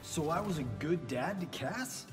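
A man's voice: on the high side, expressive, measured pace, music in the background. A man playing a cocky young action movie star in video game banter, flippant, slangy and showy.

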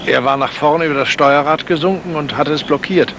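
An actor playing a polite, cooperative gentleman in a 1970s German radio crime drama, measured and somewhat hesitant. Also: fairly high pitch, background noise, lightly acted. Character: cooperative gentleman witness, member of a yacht party.

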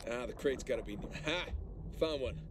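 A man's voice: mid-range voice, a little fast, noisy background, lightly acted. A man playing an easygoing, wisecracking salvage diver in a naturalistic video game performance, casual and wry. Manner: casual, wry, muttering to himself.

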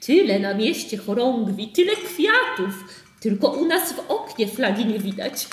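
A woman's voice: fairly high pitch, big pitch swings, measured pace, slight background noise. A woman playing a chatty, cheeky housemaid in a radio drama. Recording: roomy echo.

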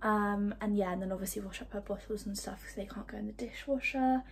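A woman in her twenties chatting casually through her evening routine at home, rambling with lots of ums and quick asides. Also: medium pitch, vocal fry. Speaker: vlogger. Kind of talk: casual chat. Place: small flat, indoors, lounge, kitchen and bathroom. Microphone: phone held at arm's length.

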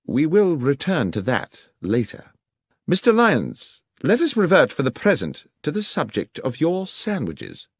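Man acting audiobook detective, incisive, commanding, coolly sardonic, precise, very lively intonation, dry sound. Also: on the high side, unhurried, slightly rough, no room sound, pitched above the performer's own voice, heavily acted. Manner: precise, emphatic, questioning. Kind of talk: dramatised audiobook dialogue.

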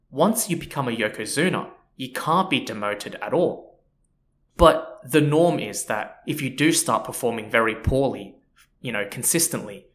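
Man of medium pitch, casual podcast host, talks history in a loose chatty style. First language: not Japanese. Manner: relaxed and chatty, with frequent "you know" and "so yeah".

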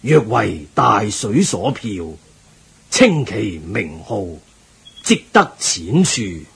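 An actor playing a devout, learned Buddhist monk in a radio drama, measured and earnest. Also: male voice, on the low side, big pitch swings, creaky, fairly bright tone, slight background noise, exaggerated voice. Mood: solemn recitation. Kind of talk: Cantonese radio drama acting.

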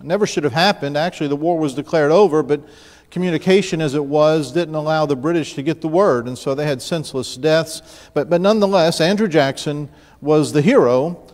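A man's voice: fairly high pitch, fairly quick, a little mellow. A man in his fifties from the New Orleans area, preaching a sermon from the pulpit and telling stories in a conversational way, often restarting and asking the congregation questions. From New Orleans area, Louisiana. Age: 50s. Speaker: pastor. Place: church sanctuary, large room. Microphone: pulpit mic, mid-distance camera. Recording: church hall with long reverb.

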